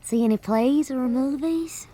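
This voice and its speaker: A woman's voice: high voice, a little slow, warm tone. An actress playing a tender, teasing young mother and captive starlet in an intimate radio drama, languid and playful in manner.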